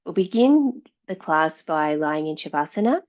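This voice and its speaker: A woman yoga teacher guiding meditation and breathing in a measured, soothing way, leaving long pauses between phrases. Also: deep voice, expressive, darkish tone. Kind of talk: guided yoga and meditation instruction. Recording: dull, slightly lo-fi sound.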